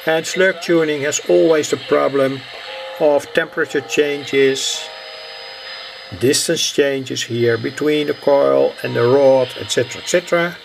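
Halting man with a very creaky voice. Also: mid-range voice, slight background noise, rough recording. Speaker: hobbyist radio builder presenting. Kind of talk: unscripted hands-on demonstration narration. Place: indoor home workbench. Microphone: handheld camera's built-in microphone. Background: shortwave radio playing.

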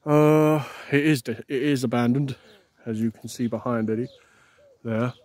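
A man chatting casually outdoors as a vlogger, in a halting way with repeated words. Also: mid-range voice, darkish tone. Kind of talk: casual chat on a walk. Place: outdoors beside an overgrown field.

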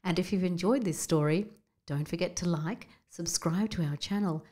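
A woman narrating a scripted children's bedtime story in an expressive storybook manner. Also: lowish voice, normal speed.

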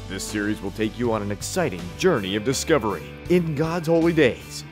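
A man reading a scripted promotional voice-over in an upbeat, salesy manner. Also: mid-range voice. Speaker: ad announcer. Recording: voice-over with faint music behind.

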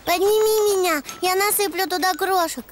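A woman's voice: very high-pitched, normal speed, a little hiss or hum. A woman voicing an eager, kind little boy donkey in a children's cartoon dub, with a childlike, exclamatory manner. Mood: cheerful. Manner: bright-eyed, childlike, exclamatory.

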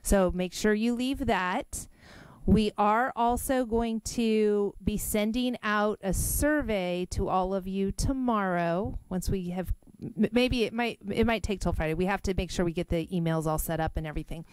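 A woman runs a public meeting with an upbeat, organized manner. Her voice is smooth and clear, close and dry on a table microphone, with little room sound. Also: mid-range voice, moderate pace, a little mellow, no echo. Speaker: meeting facilitator.

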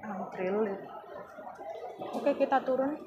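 Slow-talking woman vlogging on a walk. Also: high-pitched, muffled recording. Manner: chatty, relaxed commentary while walking. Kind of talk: casual walking vlog. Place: outdoor streets and indoor shopping mall. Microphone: handheld phone camera. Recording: phone video with loud street noise. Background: street and mall ambience.

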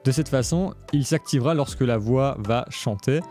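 A man's voice: mid-range voice, moderate pace. A man presenting a music production tutorial in a casual, step-by-step explanatory way. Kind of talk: casual screencast tutorial narration.

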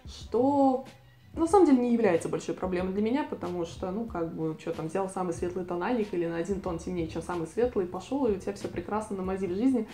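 A woman's voice: mid-range voice, fast, mellow, reverberant. A woman in her twenties from Latvia, chatting casually and self-ironically on a talking-head vlog with a lapel mic. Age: 20s. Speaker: vlogger. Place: living room with bookshelf, indoors.

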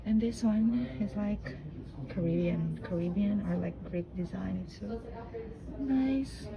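Slow-talking woman vlogger touring an exhibit. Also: mid-range voice, gravelly, dull audio, crowd noise. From Philippines. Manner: slow, admiring remarks on exhibits. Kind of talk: casual vlog commentary. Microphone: handheld phone.

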